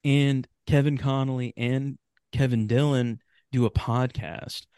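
A man chatting casually as a podcast guest, halting and repeating words as he goes. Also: medium pitch, fairly even intonation, mellow, clean tone, no echo, studio quality. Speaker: podcast guest, writer of radio drama. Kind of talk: casual chat.

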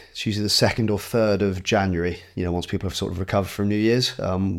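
Casual guy from scotland, very low voice, relaxed podcast chat, lots of ums. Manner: relaxed, self-deprecating, many ums. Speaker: podcast guest.

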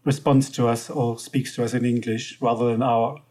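A man with a German accent chats easily as a podcast guest. His voice sits at an ordinary middle pitch, and he talks in a relaxed, good-humoured way. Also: normal speed. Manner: relaxed, chatty, laughs now and then. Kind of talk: casual interview chat.

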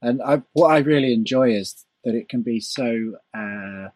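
A man hosting a casual interview, soft-spoken and hesitant, with his sentences trailing off. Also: mid-range voice, moderate pace, no room sound. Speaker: podcast host.

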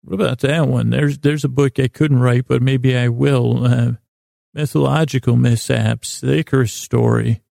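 A man hosting a sleep podcast, telling stories in a casual, meandering way with a gravelly voice, often halting and trailing off mid-thought. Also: mid-range voice, steady tone, dark tone, dry recording, studio quality. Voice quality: gravelly, creaky voice. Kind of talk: rambling casual bedtime storytelling monologue.

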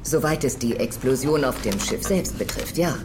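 An actress playing a polite, hesitant official who questions a detective in an audio drama, formal and halting. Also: female voice, extremely low pitch, expressive. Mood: polite, flustered. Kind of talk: audio drama acting, interrogation scene. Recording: clear background noise under the voice.

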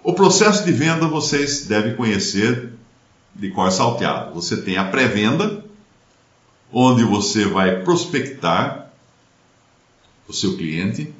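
A middle-aged man with a Brazilian accent, hosting a relaxed career chat and telling lively anecdotes with sound effects. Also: on the low side, slow pace, pauses often. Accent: Brazilian Portuguese. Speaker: podcast host and speaker. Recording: roomy, dull-sounding.

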